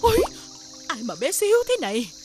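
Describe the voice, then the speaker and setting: An actress voicing an anxious, well-meaning woman in an animated fairy tale. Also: high-pitched, a little fast, loud music behind the voice. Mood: nervous.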